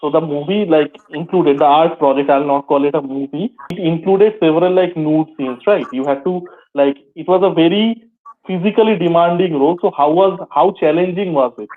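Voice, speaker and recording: Male host, Indian accent, slightly high voice, informal questioning with rephrasing, tinny phone-line sound. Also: normal speed. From Kolkata, India. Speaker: interviewer, host. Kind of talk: casual interview. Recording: thin, phone-like, radio-sounding call audio.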